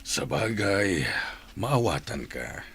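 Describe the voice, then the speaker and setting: A man's voice: sits low, sing-song, slow pace, a bit bright, breathy edge, dry recording, heavily acted. A man with a Filipino accent playing a supportive, reassuring companion of a troubled mother in a radio drama. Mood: consoling.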